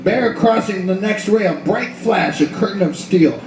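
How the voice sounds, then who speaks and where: A man with a high voice gives a dramatic noir narration in short, measured phrases. He sounds roomy and a little away from the mic, with music underneath. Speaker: author and narrator. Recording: echoey room, far from mic, music behind.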